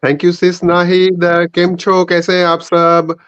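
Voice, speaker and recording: A man with an Indian accent moderates a live online show, slowly and with halting care. His voice is fairly high and smooth, slightly dulled by a lo-fi call. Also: completely flat delivery, a bit bright, no echo. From Surat, India. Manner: halting, deliberate.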